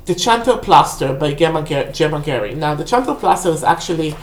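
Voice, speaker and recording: Low female voice, native Hebrew speaker, casual vlog host, halting delivery, roomy echo. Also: expressive. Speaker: book haul vlog host. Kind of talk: casual rambling book review. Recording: roomy, echoey indoor sound.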